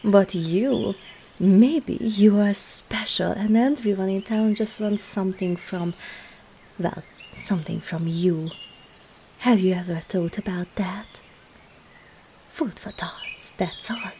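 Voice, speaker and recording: A woman's voice: medium pitch, slow, warm tone, a little hiss or hum. A woman voicing a sly, teasing traveling witch and herbologist in an ASMR roleplay, coy and murmuring into half-whispers as she addresses the listener. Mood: probing, soft.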